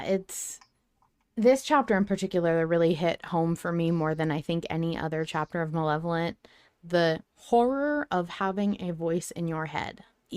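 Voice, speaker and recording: A woman's voice: lowish voice, clean tone, no echo, clean studio sound. A woman hosting a podcast, talking conversationally as she presents listener submissions.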